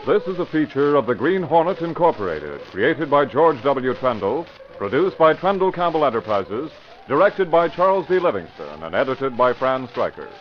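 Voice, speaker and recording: Vintage radio narrator, man, animated delivery, dull recording with clear hiss. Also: mid-range voice, moderate pace, hardly any pauses. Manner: dramatic, emphatic announcing. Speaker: announcer and narrator. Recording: muffled old radio broadcast with hiss.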